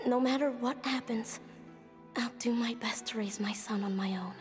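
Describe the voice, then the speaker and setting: A woman's voice: a little high, normal speed, close to an everyday voice. A woman voicing a tender mother confiding her diary in a video game monologue. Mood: grieving. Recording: long reverb over soft music.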